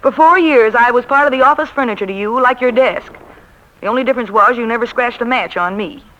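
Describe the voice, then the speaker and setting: Female actor as proud secretary-novelist, sarcastic, formal, sharp bright voice, 1940s radio romance. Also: fairly high pitch, fast talker, close to an everyday voice, low-quality recording. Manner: cool, formal, dryly sarcastic. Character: proud, tart-tongued secretary turned novelist, a woman. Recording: vintage 1940s radio recording.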